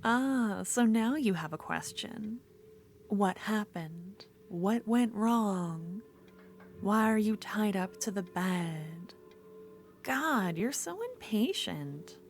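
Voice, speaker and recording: Slow, obsessive yandere rival played by a woman. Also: medium pitch, expressive, soft background music. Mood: impatient, menacing. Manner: slow, intimate, often dropping into breathy whispers. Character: obsessive, unhinged female yandere duelist rival. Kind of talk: ASMR fantasy roleplay monologue.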